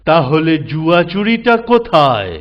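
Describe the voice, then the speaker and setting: Casting: gullible judging king, male, fairly high, unhurried, cartoon comedy, muffled recording with faint music. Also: sing-song. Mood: puzzled. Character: king presiding over disputes, questioning, easily persuaded. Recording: dull lo-fi sound, faint music.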